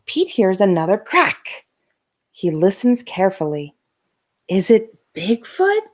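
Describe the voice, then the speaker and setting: A woman narrating a children's bedtime storybook with gentle, dramatic emphasis for young listeners. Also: medium pitch, big pitch swings, slow pace. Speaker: host of a bedtime story read-aloud channel.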